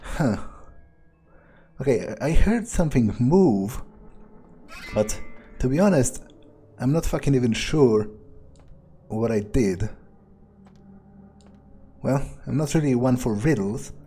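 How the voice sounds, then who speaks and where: A man's voice: mid-range voice, lively intonation, slow pace, long pauses, mellow, husky, faint music behind. A man thinking aloud in character during a video game playthrough, sardonic and hesitant, with casual swearing. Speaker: gamer playing in character.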